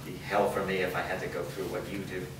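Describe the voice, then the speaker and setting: A man's voice: low voice, monotone, quick pace, close to an everyday voice. A man playing a stoic, resigned and loyal wounded man in a staged drama reading. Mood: wry, resigned. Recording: distant, very echoey, noisy room recording.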